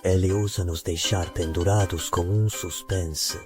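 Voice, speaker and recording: A man's voice: low voice, steady tone, a little slow. A man playing a venerable, pompous old cultivation elder with formal speech in a dramatic motion-comic dub. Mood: indignant, demanding. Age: older. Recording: music clearly behind voice.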